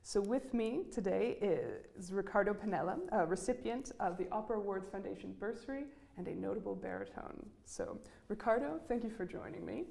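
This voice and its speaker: A woman interviews a guest in a polite, scripted way. She talks quickly, and her voice has a gravelly edge. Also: mid-range voice, warm tone. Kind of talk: interview questions.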